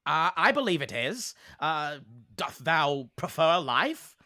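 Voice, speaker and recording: A man's voice: fairly high pitch, sing-song, takes it slowly, bright, forceful tone, no echo, studio quality, exaggerated voice. A man voicing a jovial masculine forest being who speaks in formal, archaic thee-and-thou English.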